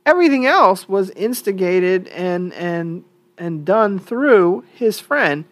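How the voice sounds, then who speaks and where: A woman's voice: mid-range voice, animated, takes it slowly, no room sound. A woman hosting her own opinion podcast, still a little congested from a cold, talking in a conversational, opinionated way. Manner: conversational and opinionated, thinking aloud with fillers. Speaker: podcast host. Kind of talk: solo opinion podcast monologue.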